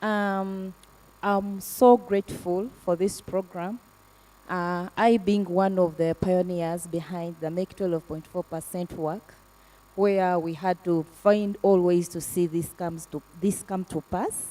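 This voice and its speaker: A woman advocate giving earnest testimony about a program, speaking haltingly with fillers and restarts. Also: on the low side, steady tone, warm tone, distant mic, poor audio. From Africa. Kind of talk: panel testimony.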